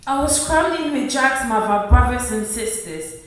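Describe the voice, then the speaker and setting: A woman acts out an earnest, hard-pressed young mother in a radio drama. Her voice is high and plain-spoken. The sound is strongly reverberant, with her standing back from the mic. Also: expressive, moderate pace, natural voice. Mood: matter-of-fact. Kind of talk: radio play acting. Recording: very echoey room, distant from the mic.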